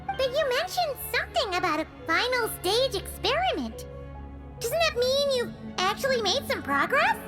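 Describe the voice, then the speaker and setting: A woman's voice: very high voice, very animated, moderate pace, loud music behind the voice. A woman voicing a small, childlike, chatty companion girl in a video game, talking about herself in the third person. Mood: surprised, curious. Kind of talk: video game cutscene acting.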